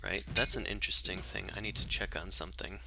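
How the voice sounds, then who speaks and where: A man narrating as game master in a tabletop game, chatty and relaxed with many fillers. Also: sits low, quick pace, gravelly, soft background music.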